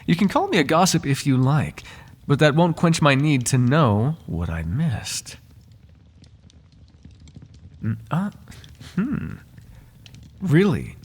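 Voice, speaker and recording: Man acting an expressive, husky, flirtatious butler. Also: on the high side, slow, long pauses, exaggerated voice. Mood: curious, gossipy. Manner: formal, genteel wording with playful teasing. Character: devoted, flirtatious, gossip-loving male butler to a noble. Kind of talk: intimate ASMR roleplay acting.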